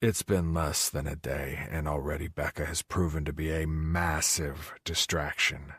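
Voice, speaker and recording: A man with a husky voice reading first-person romance audiobook narration. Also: very deep voice, steady tone, measured pace, a bit bright, dry recording, clean studio sound.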